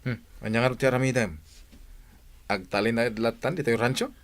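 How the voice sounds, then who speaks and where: A troubled young man, acted by a man, with a medium, everyday voice. He confides earnestly to a friend and sometimes breaks into loud bursts. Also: medium pitch, steady tone, fast, natural voice. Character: young man torn over an arranged engagement. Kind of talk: amateur radio comedy drama.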